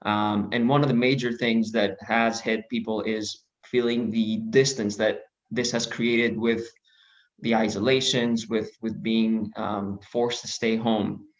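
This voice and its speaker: A man originally from Greece giving an online presentation, speaking in a measured way with frequent pauses and restarts. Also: on the low side, level tone, dull audio. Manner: measured, many fillers and restarts. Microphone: video call.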